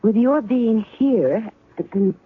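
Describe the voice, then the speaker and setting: An actress playing a shrewd woman caught up in spy intrigue, asking quick, sharp questions and narrating her own story. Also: a little high, breathy edge, exaggerated voice. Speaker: narrator and heroine. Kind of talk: 1970s radio drama acting. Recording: narrow 1970s radio broadcast, faint music.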